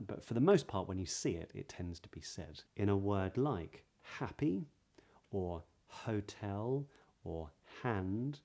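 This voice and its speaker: A man with a southern British English accent giving a pronunciation lesson as a voice coach, explaining clearly and modelling sounds. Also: fairly low pitch, dark tone. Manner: clear, demonstrative, breathy example sounds.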